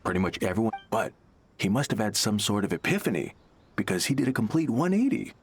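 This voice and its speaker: A man's voice: lowish voice, very animated, quick pace, a little hiss or hum. A man voicing a cynical, gossipy, opinionated townsman in an anime video game. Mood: knowing. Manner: knowing, opinionated. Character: cynical, gossipy male townsman, smugly persuaded.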